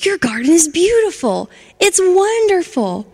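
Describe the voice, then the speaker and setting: An earnest, remorseful young boy from a children's story, as a woman reads him. He talks in a pleading, heartfelt way, with a slight fry in the voice. The voice carries a long reverb, like in a large hall. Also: sits high, a little fast, darkish tone, voice pushed up. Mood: joyful.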